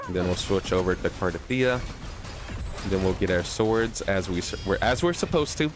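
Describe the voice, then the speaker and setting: A man's voice: sits low, animated, moderate pace. A man streaming a video game with casual, chatty commentary, playful slang and a self-deprecating streak. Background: music.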